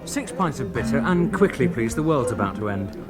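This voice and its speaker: A man's voice: mid-range voice, big pitch swings, fairly quick, over loud music, close to an everyday voice. An actor playing an urgent, eccentric British man in a 1970s radio comedy. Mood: brisk, urgent. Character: urgent, eccentric man calmly announcing the world's end.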